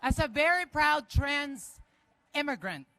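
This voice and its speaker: A woman from the Philippines with a Filipino accent, giving a lively, personal speech at an outdoor march. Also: high-pitched, takes it slowly. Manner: enthusiastic, personal. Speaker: guest speaker at a march. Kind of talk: rally speech. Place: outdoor rally.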